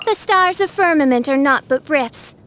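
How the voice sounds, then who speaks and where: A woman voicing a theatrical teenage girl in a video game who styles herself a dark princess, with grandiose, archaic speech. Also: high-pitched, lively intonation, normal speed. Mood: regal, pompous. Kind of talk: scripted video game character acting.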